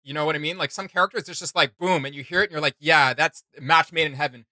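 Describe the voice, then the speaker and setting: A bright, forward male voice, recorded very dry and close. He is a reaction show host who talks in a casual, chatty way, pitched a little high for a man, with playful informal asides. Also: fairly even intonation, quick pace, no room sound.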